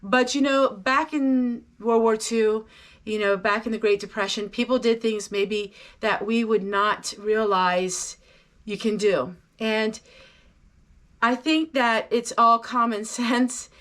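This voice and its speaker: A woman's voice: on the high side, fairly even intonation, takes it slowly, a bit bright. A middle-aged woman giving a casual, folksy homestead how-to talk, chatty and explanatory. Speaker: home canning vlogger.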